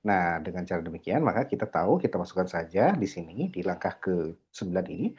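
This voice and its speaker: A man's voice: deep voice, very animated, a little fast, darkish tone, husky. A man lecturing, walking students through a worked accounting problem on screen, peppering his talk with "nah" and "ya". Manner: explanatory, filler "nah" and "ya", code-switching English terms. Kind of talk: screen-recorded lecture walkthrough. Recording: dull lo-fi mic.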